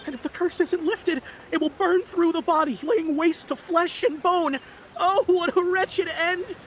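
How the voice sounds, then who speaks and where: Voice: very high-pitched, steady tone, measured pace, fairly bright tone, a little hiss or hum, heavily acted. A voice actor playing a frightened young man in a game who is sure he has been cursed, melodramatic and pleading. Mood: panicked. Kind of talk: game voice acting.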